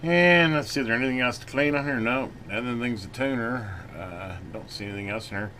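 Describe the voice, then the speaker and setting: A man giving a casual hands-on repair demonstration, folksy and unhurried as he talks while working. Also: medium pitch, pushed and bright. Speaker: channel host doing electronics repair. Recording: noisy room, clearly audible background noise.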